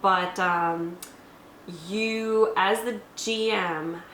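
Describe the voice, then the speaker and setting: A woman co-hosting a casual game review, explaining things in a measured, conversational way as the game master. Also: medium pitch, fairly even intonation, a bit bright. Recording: echoey room, faint background noise.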